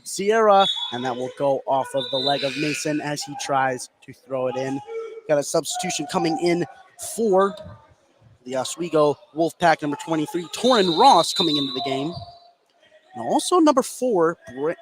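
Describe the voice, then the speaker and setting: A man's voice: on the high side, lively intonation, moderate pace. A man calling live basketball play-by-play as the announcer. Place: indoor basketball gym, courtside. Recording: noisy gym ambience, bouncing basketball. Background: game noise, ball bouncing.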